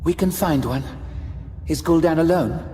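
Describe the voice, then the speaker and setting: A woman's voice: low-pitched, moderate pace, dark tone, a little hiss or hum, lightly acted. An actress playing a cool, steely female elf warden in a fantasy audio drama, clipped and unimpressed.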